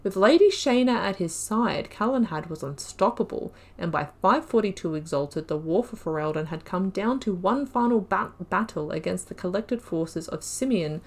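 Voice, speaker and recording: A woman's voice: mid-range voice, lively intonation, moderate pace, few pauses. A woman hosting a game playthrough, reading lore entries aloud and breaking off into casual, rambling asides. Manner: chatty, trails off and restarts mid-sentence. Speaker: gaming video host.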